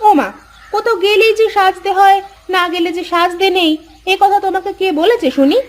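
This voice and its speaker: Casting: female actor for an animated village wife, high voice, vain and touchy, coquettish yet argumentative. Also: moderate pace. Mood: coy, vain. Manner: coquettish, indignant. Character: vain, quarrelsome village wife, easily offended. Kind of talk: cartoon dialogue acting.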